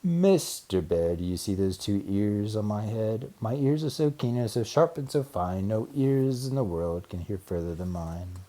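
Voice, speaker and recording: A man's voice: on the low side, expressive, unhurried, few pauses, warm tone. A man voicing a proud rabbit who brags and snaps insistently in a children's storybook. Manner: snappy, boastful, insistent. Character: proud, snappish, bragging rabbit.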